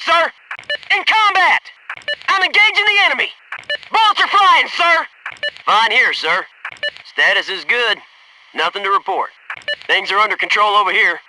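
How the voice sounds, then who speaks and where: A man's voice: extremely high pitch, noisy background. A male actor playing a loyal, wisecracking special forces soldier in a military shooter game, speaking in clipped military phrases. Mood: tense, urgent.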